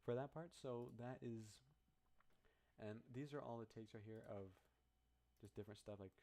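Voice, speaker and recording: A man with a very low voice casually walking through his own song's project file, rambling and poking fun at himself. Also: moderate pace, mellow. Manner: rambling, self-deprecating, many restarts. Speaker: musician explaining his song.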